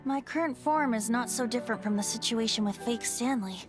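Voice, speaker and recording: A woman's voice: fairly high pitch, fairly quick, over music, natural voice. A woman voicing a playful, boyish wind god bard in a video game, ancient but youthful, with a lilting, theatrical delivery. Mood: wistful, reflective. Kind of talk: video game cutscene acting.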